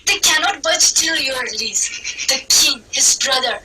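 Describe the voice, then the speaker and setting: A woman performs classical verse in a declaimed, theatrical manner. Her voice is high and strikingly sharp. She sounds distant from the microphone, with music behind her. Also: expressive, a little slow. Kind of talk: dramatic recitation of Shakespeare.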